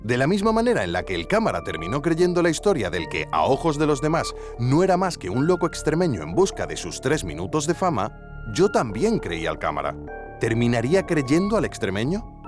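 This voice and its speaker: An actor playing an earnest investigative podcast narrator with a Spanish accent from Spain, theatrical, suspenseful and tongue-in-cheek. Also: male voice, mid-range voice, wildly swooping pitch, brisk, over music. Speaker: host. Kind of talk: scripted documentary narration.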